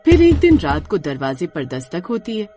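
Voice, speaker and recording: A woman's voice: on the low side, huge pitch swings, normal speed, warm tone, soft background music. A woman narrating a scripted horror story as a storyteller.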